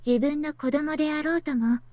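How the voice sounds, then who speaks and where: Woman playing a very high, theatrical anime female. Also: steady tone, rapid-fire, warm tone, dry recording, lightly acted. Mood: teasing, affectionate. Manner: theatrical, mock-dramatic. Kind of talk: Japanese visual novel voice acting. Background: game music.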